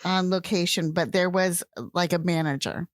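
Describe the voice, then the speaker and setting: A woman hosting a podcast, telling a travel story in a lively, casual way with plenty of fillers. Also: on the low side, normal speed, no echo, clean studio sound. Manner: animated storyteller, many fillers. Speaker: podcast host.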